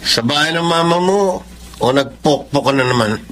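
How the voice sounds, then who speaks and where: An actor with a Filipino accent playing an abusive, jealous young husband, taunting and aggressive, in a radio drama. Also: male voice, fairly high pitch, animated, moderate pace, a bit bright, slight background noise. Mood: suspicious, contemptuous.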